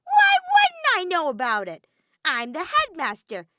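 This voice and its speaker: A woman's voice: high voice, huge pitch swings, measured pace, very noisy, voice pushed up, exaggerated voice. A woman voicing a bratty, exasperated male robotic teddy bear villain in a fan fiction audio reading, theatrical and whiny. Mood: furious roaring. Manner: theatrical, whiny, griping outbursts.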